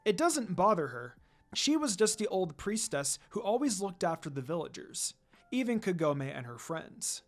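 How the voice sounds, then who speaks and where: An amateur narrator reading a fan fiction story aloud in an expressive storytelling style. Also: mid-range voice, moderate pace.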